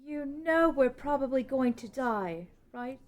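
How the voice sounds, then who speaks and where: A woman's voice: sits high, lively intonation, unhurried. A woman playing an earnest, conflicted young princess in a fantasy story table read. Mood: anxious.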